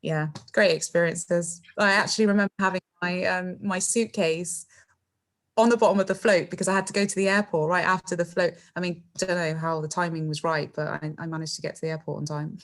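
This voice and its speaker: A middle-aged woman from England, speaking in a fairly low voice. She chats loosely as a podcast guest, laughing easily and tacking on fillers and tag questions. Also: fairly even intonation, a little fast. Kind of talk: casual interview chat.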